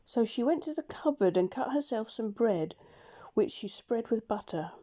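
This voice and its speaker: A woman reading a children's story aloud in a measured, expressive storytelling manner. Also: fairly high pitch. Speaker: storybook reader. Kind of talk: scripted children's story narration.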